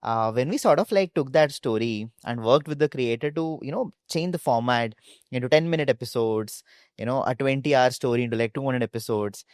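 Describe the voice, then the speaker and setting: A man's voice: medium pitch, brisk, no room sound, clean studio sound. A man with an Indian accent, a co-founder telling stories casually in an interview and peppering his speech with "like". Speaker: startup co-founder being interviewed.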